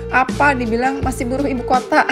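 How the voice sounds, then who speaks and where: A woman's voice: sits high, level tone, fairly quick. A woman in her forties, a mother, narrating a family road-trip vlog in a casual, chatty voice-over with conversational asides. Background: background music.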